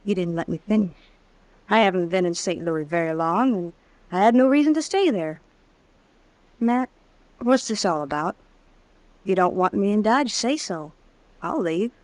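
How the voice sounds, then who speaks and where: A woman's voice: medium pitch, animated, normal speed, pauses often, darkish tone, no room sound, close to an everyday voice, low-quality recording. A woman playing a tough, guarded drifter in an old-time radio western, with a terse, probing way of talking. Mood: defensive. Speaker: radio drama actress. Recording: vintage radio drama recording.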